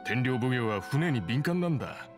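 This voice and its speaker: A man's voice: mid-range voice, big pitch swings, normal speed, close to an everyday voice. An actor playing a loyal, easygoing boatman in an anime game, casual and plain-spoken. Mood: grave. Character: easygoing loyal boatman, friendly adult man. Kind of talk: anime video game voice acting. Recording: music behind the voice.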